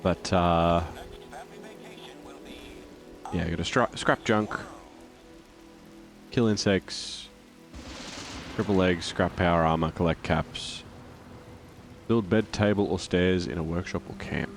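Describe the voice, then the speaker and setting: A man with a croaky, flu-hoarse voice chatting casually while streaming a game and greeting viewers. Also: deep voice, unhurried, pauses often, soft background music. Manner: rambling, reads out chat and greets viewers. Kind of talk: casual gameplay stream chat.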